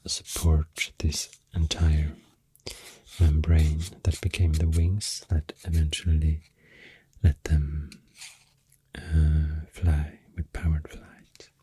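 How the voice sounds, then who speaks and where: A woman with a Swedish accent speaks softly in a very low, husky, whispery voice for ASMR. She rambles extremely slowly with halting pauses, hushed and close to the microphone. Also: little pitch movement, no echo. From Sweden. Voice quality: deep, breathy, often whispery. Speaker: ASMR host. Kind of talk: soft-spoken ASMR rambling. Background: soft pencil and clicking sounds.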